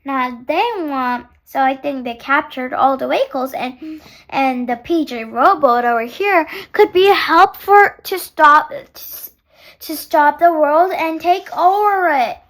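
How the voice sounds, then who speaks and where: A very high, bright young female voice reads a picture book aloud at an unhurried pace. The delivery is sing-song and halting, as a storyteller speaking to small children.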